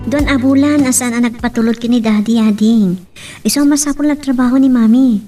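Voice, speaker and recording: A woman's voice: on the high side, a little fast, dark tone, far from the mic, soft background music, natural voice. A woman playing a worried young daughter and elder sister in an Ilocano family drama. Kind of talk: Ilocano family radio-style drama acting.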